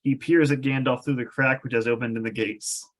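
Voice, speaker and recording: A man narrates a fantasy screenplay. His voice sits at an average pitch. He reads descriptive stage directions as scripted narration. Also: steady tone, a little fast, low-quality recording.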